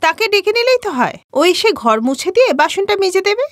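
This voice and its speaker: Woman voicing a high, whiny young daughter-in-law. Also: sing-song, fast talker, no room sound, studio quality. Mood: earnest. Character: self-centred, grumbling young working daughter-in-law. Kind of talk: Bengali moral-story cartoon voice acting.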